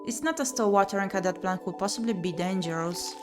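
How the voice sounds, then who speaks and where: A woman streams a visual novel, reading its narration aloud and commenting as she goes. Her voice sits at a middling pitch and her delivery is expressive, given to exclaiming and muttering to herself. Music is clearly audible behind her. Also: quick pace.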